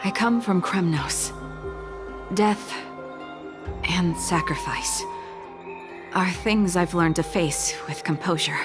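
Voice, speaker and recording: A stoic warrior widow from a fantasy game. Her voice is a little low, calm and deliberate, with clear music behind it. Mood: quiet grief, resolve. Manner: calm, restrained, deliberate pauses. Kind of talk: video game voice acting.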